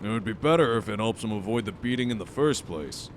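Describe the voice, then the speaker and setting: Man as a stern, low-voiced official. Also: animated, slight background noise, close to an everyday voice. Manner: measured, dry, authoritative. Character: stern, blunt academy admissions officer, grown man. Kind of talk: audio drama acting.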